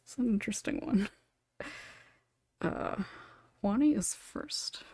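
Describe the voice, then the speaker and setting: A woman's voice: mid-range voice, takes it slowly, dark tone, husky, no room sound, whispered, clean studio sound. A woman with a chatty, giggly, informal manner, hosting a casual panel discussion. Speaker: podcast host and writer.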